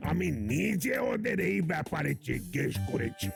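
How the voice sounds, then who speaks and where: A man's voice: sits high, sing-song, normal speed, vocal fry, over music, exaggerated voice. A man voicing a bumbling, flustered cartoon policeman who stammers and repeats his words.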